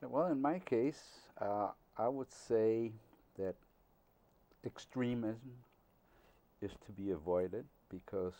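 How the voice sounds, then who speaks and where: An older man answering interview questions slowly and haltingly, pausing often with fillers. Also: on the low side, warm tone. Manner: slow, halting, with many uh fillers.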